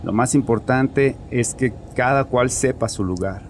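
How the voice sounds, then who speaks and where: An actor playing a troubled, chauvinist bereaved father confessing in an audio horror drama, with a haunted, halting manner. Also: mid-range voice, a little hiss or hum, natural voice. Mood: proud, defensive. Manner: haunted, halting, repeats himself.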